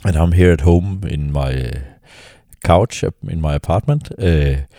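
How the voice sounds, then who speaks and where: A middle-aged man, hosting a casual solo podcast from his couch at home. His voice is lowered and husky after a weekend of singing, and he talks haltingly, with many restarts. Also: warm tone, dry recording, clean studio sound. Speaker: podcast host.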